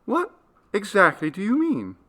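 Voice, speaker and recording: A man voicing a gentle, curious young woman of twenty-two in an audiobook story, questioning and deliberate. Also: high voice, huge pitch swings, pitched above the performer's own voice, exaggerated voice. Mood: curious, probing.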